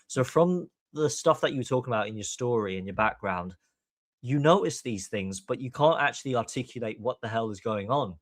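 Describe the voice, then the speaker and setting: A man's voice: mid-range voice, animated, brisk, dry recording. A man from Hong Kong hosting a podcast, giving opinionated commentary in an emphatic, long-winded way. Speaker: podcast host.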